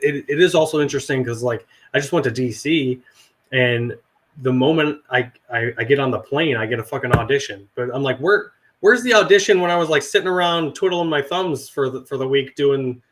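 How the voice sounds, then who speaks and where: A man in his thirties, a veteran and actor, talking casually with a slightly raspy voice from a cold, rambling and swearing now and then. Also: medium pitch, a bit bright. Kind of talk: casual podcast chat.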